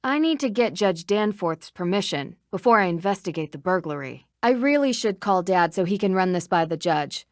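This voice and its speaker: An actress playing an earnest, determined young amateur detective in a video game, polite and matter-of-fact. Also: female voice, mid-range voice, animated, moderate pace, hardly any pauses, no echo, studio quality, natural voice. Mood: purposeful.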